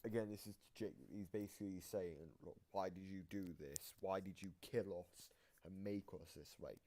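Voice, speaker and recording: A man's voice: low-pitched, steady tone, moderate pace, warm tone. A man giving casual explanatory commentary as host of a reaction video, often restating his point.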